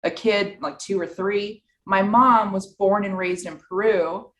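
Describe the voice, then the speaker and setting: A woman's voice: medium pitch, measured pace, reverberant. A woman from New York, a podcast guest telling her story cheerfully. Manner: bright, cheerful, relaxed storytelling. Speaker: guest, real estate investor. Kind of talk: casual interview.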